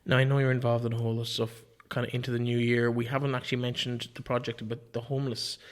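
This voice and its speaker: An Irish man hosts a casual interview at a brisk clip. His voice sits mid-range, and his questions come in a chatty, bantering way. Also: mid-range voice, flat delivery. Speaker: interview host.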